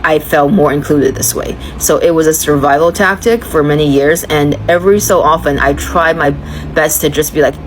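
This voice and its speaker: A woman's voice: low voice, steady tone, moderate pace, a little hiss or hum, poor audio. A woman from China talking candidly in a thoughtful, searching, confiding monologue. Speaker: vlogger sharing personal reflections.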